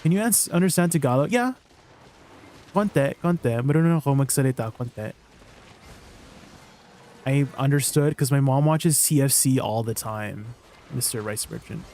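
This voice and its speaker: A man with a Canadian accent chatting casually with viewers while streaming a game. He rambles in a relaxed way and trails off at the ends of his lines. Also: mid-range voice, moderate pace, long pauses.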